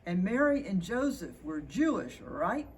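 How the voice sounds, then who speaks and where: A woman's voice: medium pitch, very animated, unhurried, a little hiss or hum. A woman reading a storybook as a curious, persistent young boy with an earnest, questioning manner.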